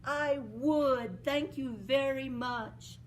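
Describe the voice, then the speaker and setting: A woman voicing Cinderella, a sweet, excitable young woman, in a breathless, eager voice. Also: high-pitched, extremely slow, far from the mic, slight background noise, voice pushed up. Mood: polite.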